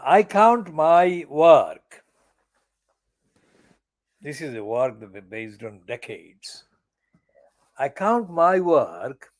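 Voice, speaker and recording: An older man delivering an angry, profane political monologue as a channel editor, stressing words slowly and deliberately. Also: fairly high pitch. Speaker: channel editor giving commentary.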